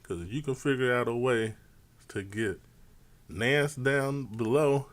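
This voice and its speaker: A man's voice: medium pitch, a little slow. A man hosting a casual sports talk show, brash and boastful, swearing freely as he talks.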